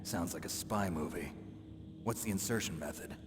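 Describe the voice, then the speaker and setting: An actor playing a gruff, world-weary retired soldier in a video game, terse, dry and skeptical, with a gravelly voice. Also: male voice, sits low, moderate pace, natural voice. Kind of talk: dramatic video game voice acting. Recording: noisy, long reverberant hall sound.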